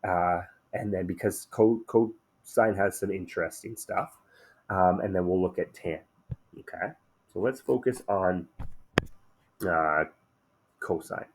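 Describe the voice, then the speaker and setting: A man teaching a math lesson in a relaxed, conversational way, slightly hoarse after a day of shouting, often checking in with "okay?". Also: deep voice, takes it slowly, pauses often, a little hiss or hum.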